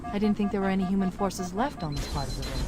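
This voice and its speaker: A woman's voice: medium pitch, lively intonation, quick pace, close to an everyday voice. A woman voicing a poised, analytical military AI in a video game, speaking in articulate, composed briefings. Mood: analytical, curious. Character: poised, analytical female military artificial intelligence. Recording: loud game music and vehicle noise behind.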